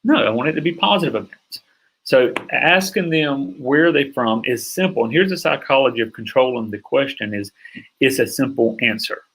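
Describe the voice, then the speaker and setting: A middle-aged man teaching a sales course in an energetic, emphatic way, often stopping to check that listeners follow. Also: mid-range voice, gravelly, husky, low-quality recording. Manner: energetic, emphatic, acts out sample calls. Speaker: sales coach. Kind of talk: online course lecture.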